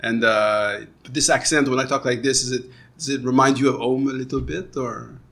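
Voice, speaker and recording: A man's voice: medium pitch, fairly even intonation, moderate pace, vocal fry. A man hosting a podcast, joking and chatting casually with his guest. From Quebec, Canada. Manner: jokey, chatty, thinks out loud with fillers. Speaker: podcast host. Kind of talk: casual conversation.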